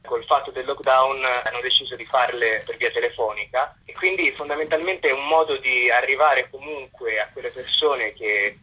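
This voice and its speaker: A man's voice: medium pitch, normal speed, few pauses, bright, forceful tone, husky, poor audio. A man explaining a project as a guest in a radio interview. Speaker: theatre representative, interview guest.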